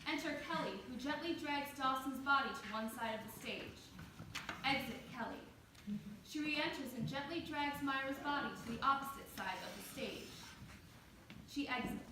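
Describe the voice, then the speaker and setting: A woman's voice: high-pitched, moderate pace. Female stage-direction reader, scripted narration, heard from afar in a noisy, reverberant room. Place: indoor stage, recorded from a distance. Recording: echoey, noisy room from far off.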